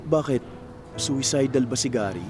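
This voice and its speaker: A man's voice: medium pitch, moderate pace, close to an everyday voice. An actor with a Filipino accent playing a supportive, practical young male friend in a Tagalog radio drama. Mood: concerned. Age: young adult. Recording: loud music under the voice.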